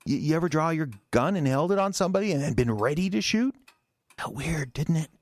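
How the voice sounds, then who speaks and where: An actor playing an agitated, defensive husband confessing to a killing in an audio drama, in a halting, stammering, rambling way. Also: male voice, fairly high pitch, lively intonation, dry recording.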